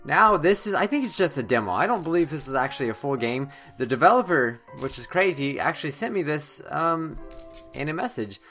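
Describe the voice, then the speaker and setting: A man's voice: a little high, expressive, brisk, bright, forceful tone. A young man hosting a casual let's play, with joking, sarcastic asides. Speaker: gaming video host. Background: music.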